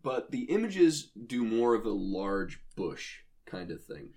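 A man's voice: fairly low pitch, very animated, slow, darkish tone. A man co-hosting a casual comedic podcast, explaining things in a joking, conversational way. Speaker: podcast co-host.

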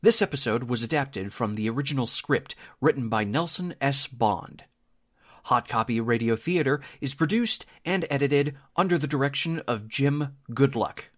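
A man's voice: mid-range voice, normal speed. A man reading scripted credits and announcements as the announcer of an audio drama.

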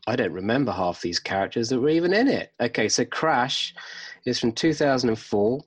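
A man's voice: medium pitch, lively intonation, fairly quick, husky, no echo. Chatty man, dry close sound. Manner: chatty, rambling with restarts. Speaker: podcast co-host. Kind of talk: casual film review chat.

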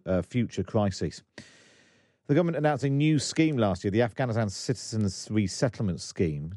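Man, radio presenter, quick talker, low voice, dry close sound. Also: mellow, no room sound. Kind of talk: broadcast interview.

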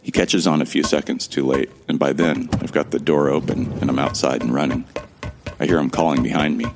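A man's voice: a bass voice, steady tone, a little fast, noisy background. An actor narrating in first person as a determined man who runs toward his doom, in a grim, resigned audio drama telling. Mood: resolute.

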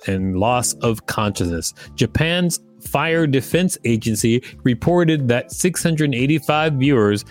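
A man co-hosting a podcast, reading an article aloud and breaking off for dry joking asides. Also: medium pitch. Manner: dry, joking asides between read passages. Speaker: podcast co-host.

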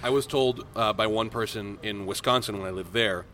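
A man from Miami co-hosting a casual podcast, thoughtful and measured, asking leading questions. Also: fairly low pitch, fairly bright tone, a little hiss or hum. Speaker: podcast co-host.

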